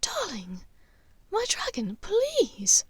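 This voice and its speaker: A woman voicing a refined, anxious older aristocratic witch mother in an audiobook story, breathy, careful and gently firm. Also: very high voice, huge pitch swings, very slow, no echo, voice pushed up, exaggerated voice. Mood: pleading, worried.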